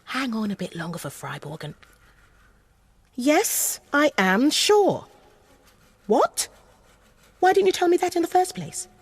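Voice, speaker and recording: A woman's voice: high-pitched, sing-song, a little slow, long pauses, breathy edge, exaggerated voice. An actress playing a self-assured British female con artist in a radio drama, poised, persuasive and businesslike. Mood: brisk, impatient. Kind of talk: naturalistic radio drama acting.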